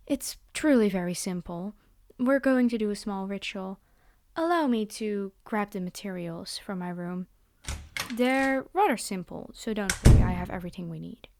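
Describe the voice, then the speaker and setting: A woman's voice: mid-range voice, lively intonation, normal speed, a little mellow, slight background noise, lightly acted. A woman playing a commanding vampire girl in an ASMR roleplay, speaking directly to her human captive with condescending, teasing reassurance. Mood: reassuring, teasing. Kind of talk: scripted ASMR roleplay monologue.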